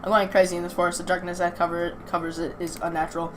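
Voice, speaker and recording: A woman with a slightly low voice reads visual novel text aloud for a let's play. She trips over words, repeats phrases and drops in casual remarks, with light noise on the recording. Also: flat delivery, quick pace. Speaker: video host playing a visual novel.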